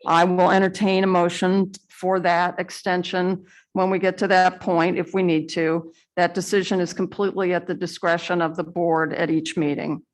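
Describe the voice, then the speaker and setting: Lower-voiced woman chairing a board meeting. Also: little pitch movement, low-quality recording. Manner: measured, procedural, with some fillers. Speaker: school board president. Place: school board meeting room.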